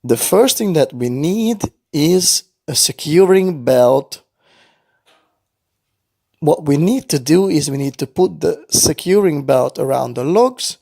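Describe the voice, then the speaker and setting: A man's voice: on the high side, gravelly, a little mellow, no echo, clean studio sound. A man narrating a toy-play bedtime story for young children, explaining things simply as he goes.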